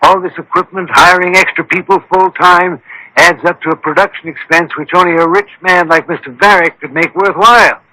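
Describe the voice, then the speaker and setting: Radio mystery sleuth: brilliant, methodical, commanding; higher-pitched, very sharp forceful voice. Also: male voice, fairly even intonation, fairly quick, low-quality recording. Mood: explaining, assured. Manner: precise, explanatory, commanding. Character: brilliant, methodical male scientist detective called the thinking machine. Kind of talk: radio mystery drama acting.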